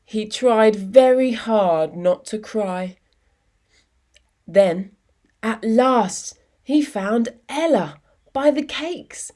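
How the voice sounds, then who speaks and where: A woman reading a children's story expressively, with measured phrasing and dramatic pauses. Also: on the high side, animated, darkish tone.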